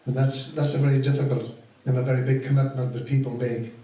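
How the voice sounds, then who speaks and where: A man's voice: medium pitch, flat delivery, quick pace, darkish tone. Irish man speaking in a very echoey hall. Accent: Irish, County Donegal. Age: middle-aged or older. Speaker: pantomime director giving thanks on stage. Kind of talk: informal thank-you speech to an audience. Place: stage of a community hall. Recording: very echoey hall, distant mic, faint noise.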